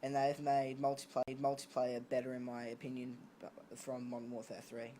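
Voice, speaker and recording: A man's voice: mid-range voice, completely flat delivery, normal speed, warm tone. A man hosting a casual gaming chat with friends over an online voice call. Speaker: host. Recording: faint noise, online voice chat.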